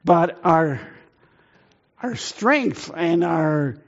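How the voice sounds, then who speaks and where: A middle-aged man raised in Italy with Italian as his first language, speaking earnestly to a church as a missionary, halting often on fillers. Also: on the high side, animated, dull audio.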